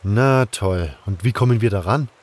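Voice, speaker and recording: A man's voice: fairly low pitch, normal speed, a little mellow, lightly acted. An actor playing an adventurer who recounts his quest in the first person, as a storyteller in a scripted audio drama. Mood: in dialogue.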